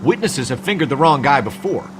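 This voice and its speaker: An actor playing an earnest, doubting police detective reasoning out loud in a naturalistic video game cutscene. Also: male voice, on the high side, animated, brisk, lightly acted. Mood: doubtful, insistent. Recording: loud car engine and street noise.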